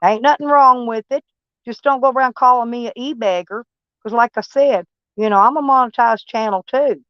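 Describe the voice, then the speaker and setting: A woman's voice: on the high side, moderate pace, clear, resonant tone, no echo, highs cut off. Woman with a Texas twang. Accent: Texan. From Kentucky, USA. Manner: rambling, folksy, blunt and swearing. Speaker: livestream host. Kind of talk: casual live-stream chat with viewers.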